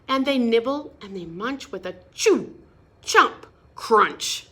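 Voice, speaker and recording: A woman reading a picture book aloud to children in a sing-song, expressive way, pausing to explain words and ask questions. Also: fairly high pitch. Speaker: storybook reader.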